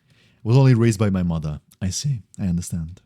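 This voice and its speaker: Guy w a lowish mellow voice rambling to chat while he games, thinks out loud, super dry close mic. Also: expressive, a little fast, dry recording. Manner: rambling, thinks aloud, repeats himself. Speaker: game streamer. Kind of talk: casual livestream chat while gaming.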